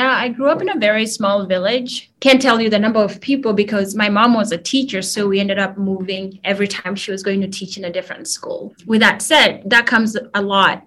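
A woman in her thirties with a Kenyan accent, speaking as a guest in a video call interview, soft and reflective. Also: mid-range voice.

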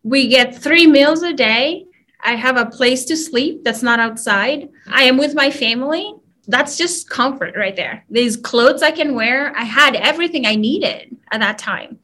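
A woman in her thirties with a Kenyan accent, speaking as a guest in a video call interview, soft and reflective. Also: high-pitched, animated, a little slow.

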